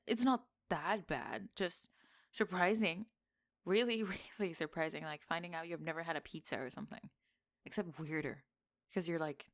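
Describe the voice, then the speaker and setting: A young woman playing a teasing, sarcastic tomboy gamer friend in an acted ASMR roleplay, with expressive sighs and laughter. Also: medium pitch, lightly acted. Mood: flustered, defensive. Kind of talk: acted ASMR roleplay, one-sided conversation.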